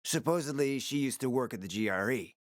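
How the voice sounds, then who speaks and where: A man's voice: medium pitch, animated, brisk, dry recording, clean studio sound, natural voice. An actor playing a scheming, foul-mouthed man in a post-apocalyptic video game, confident and calculating, with an emphatic, sardonic delivery. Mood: wary, insistent. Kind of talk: dramatic video game dialogue.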